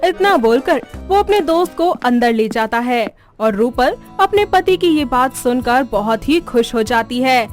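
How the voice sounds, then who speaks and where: A woman reading scripted narration for an animated moral story. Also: high voice, a little slow, few pauses, soft background music.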